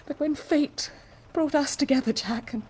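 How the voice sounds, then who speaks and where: An actress playing an anguished, dignified married woman confessing a secret, speaking formally and with deliberate passion in a period radio drama. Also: sits high, darkish tone, close to an everyday voice. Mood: proud, tender. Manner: formal, deliberate, impassioned, declamatory.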